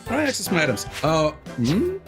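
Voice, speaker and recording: A man's voice: on the high side, huge pitch swings, fast talker, vocal fry. A man giving casual gameplay commentary as a streamer, muttering and exclaiming at the game as he plays. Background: video game music.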